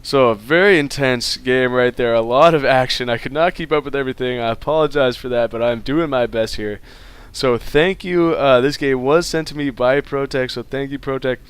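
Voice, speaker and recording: A man's voice: medium pitch, normal speed, clean tone, no room sound. A man with a stuffed-up nose giving casual solo play-by-play commentary on a strategy game, with plenty of fillers. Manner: casual play-by-play, many fillers, rising excitement in fights. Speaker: esports caster.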